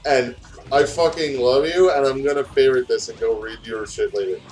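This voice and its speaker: A man's voice: medium pitch, a little fast, a little mellow, soft background music, low-quality recording. A man with a casual, profane, self-deprecating way of talking, chatting and reading a story aloud during a game playthrough. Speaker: guest reading fan fiction aloud.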